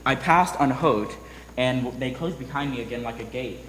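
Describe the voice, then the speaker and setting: A man's voice: mid-range voice, moderate pace, fairly bright tone, close to an everyday voice. Stage storybook prince, male reader, young and resolute, mid-range voice, very roomy hall sound. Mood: wondering. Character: bold, determined young prince of a fairy tale. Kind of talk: readers theatre stage reading. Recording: echoey hall with faint noise.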